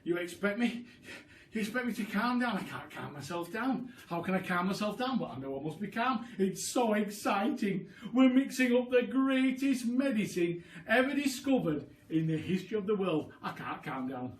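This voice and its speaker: A man voicing an excitable, frantic father who talks fast and loud, with a roomy echo from a distance. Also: high voice, a little hiss or hum, pitched above the performer's own voice. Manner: shouting, hyper, rapid-fire.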